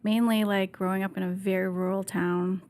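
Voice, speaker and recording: A woman's voice: medium pitch, flat delivery, moderate pace, a little mellow. Chatty woman guest on a studio mic. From Vietnam, raised in Canada. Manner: chatty, laughs often, many fillers and restarts. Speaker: podcast guest. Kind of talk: candid conversational interview. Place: bright podcast studio. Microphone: desk mic on boom arm.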